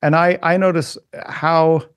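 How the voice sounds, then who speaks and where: A middle-aged man chats as a podcast guest, his voice sitting a bit high. He talks in a halting way, circling back with restarts and fillers. The sound is dry and close. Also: level tone, smooth, no echo. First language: not German. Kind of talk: casual conversation.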